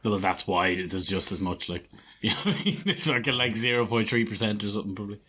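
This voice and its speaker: A man's voice: on the low side, fast talker, pushed and bright, slight background noise. A young Irish man chatting casually on a podcast, blunt and dismissive, swearing a lot and trailing off with "like". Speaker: podcast co-host. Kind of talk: casual chat.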